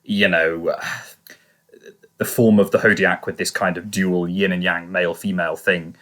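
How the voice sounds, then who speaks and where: A man's voice: sits low, flat delivery, normal speed, a bit bright. A man hosting a casual review podcast, talking discursively and qualifying his points as he goes. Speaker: podcast host.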